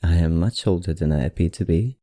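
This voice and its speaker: A man playing a courteous, teasing, ancient vampire librarian in an ASMR roleplay, speaking genteelly with a put-on accent in a low, husky voice. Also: brisk, no echo, studio quality. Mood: gracious, mysterious. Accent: put-on, not his own. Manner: genteel, old-fashioned, softly teasing. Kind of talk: ASMR roleplay, addressing the listener.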